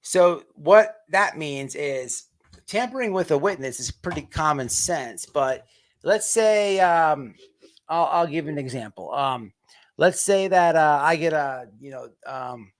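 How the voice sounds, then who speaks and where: Man, slightly high voice, online show host, unscripted commentary, halting delivery, repeated words. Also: lively intonation. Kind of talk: off-the-cuff livestream commentary.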